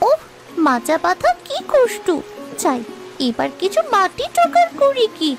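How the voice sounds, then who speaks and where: A woman's voice: very high-pitched, huge pitch swings, a little slow, exaggerated voice. A woman voicing an indignant, feisty poor village child in a cartoon, complaining and defiant. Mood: weary. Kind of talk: Bengali cartoon voice acting. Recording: music behind the voice.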